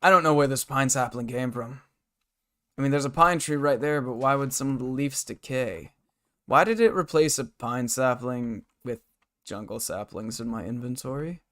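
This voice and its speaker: Man, hoarse scratchy throat, casual game streamer, rambling chat, mid-pitched voice. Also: moderate pace, bright, forceful tone. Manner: rambling, muttering to self and chat. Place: bedroom at a desk.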